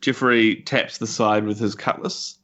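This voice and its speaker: A man's voice: lowish voice, fairly even intonation, measured pace, sharp tone, lo-fi sound. A man with a New Zealand accent chatting casually as a tabletop game player.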